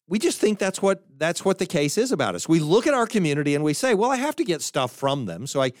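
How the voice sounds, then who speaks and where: An American man with a fairly high, very lively voice works through ideas out loud in a podcast-style talk. He restarts and digresses often, and the close, dry sound has no room around it. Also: no room sound. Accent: General American. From United States. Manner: thoughtful, halting, with frequent restarts and asides. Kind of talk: unscripted spoken lecture.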